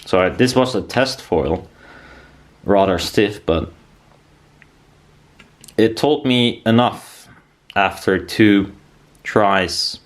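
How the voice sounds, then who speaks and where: A man's voice: lowish voice, drawn-out words, long pauses. A man giving a casual workshop demonstration as a DIY maker, rambling and thinking aloud as he goes. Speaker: DIY maker presenting a build video.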